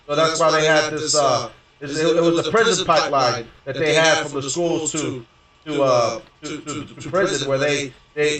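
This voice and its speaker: A man's voice: a little high, fairly bright tone. A young man from Houston, Texas, telling a long story in rambling, profane casual talk full of fillers and restarts. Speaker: gaming streamer. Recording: very echoey room, distant from mic.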